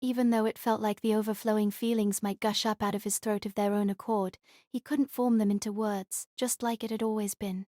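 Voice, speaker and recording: A woman's voice: medium pitch, flat delivery, brisk, mellow, no room sound, studio quality. A woman reading scripted fiction narration as the narrator of a novel.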